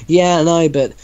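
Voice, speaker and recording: A man's voice: on the high side, muffled recording. Man reading aloud with teasing asides. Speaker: reader in a group reaction show. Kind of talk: casual story reading with banter.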